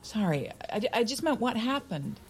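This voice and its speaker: A woman's voice: on the high side, sing-song, normal speed, slight background noise. A woman playing a brash, gossipy married friend in an audio drama, talking in a knowing, blunt, teasing way. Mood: blunt, wry. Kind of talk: naturalistic audio drama dialogue. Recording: music playing behind the voice.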